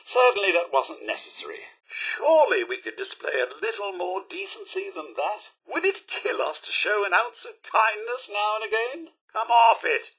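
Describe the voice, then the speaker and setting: An actor playing a prim, polite robot butler in a video game, with a British accent and theatrical, clipped manners. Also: male voice, high-pitched, very animated, normal speed, few pauses, fairly bright tone, breathy edge, heavily acted, low-quality recording. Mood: prim disapproval. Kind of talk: video game character voice lines.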